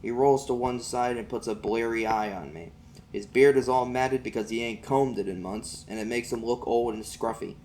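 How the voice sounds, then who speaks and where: A man's voice: medium pitch, normal speed, a little mellow, a little hiss or hum. A man reading an audiobook as an amateur, narrating in the first person in a folksy vernacular.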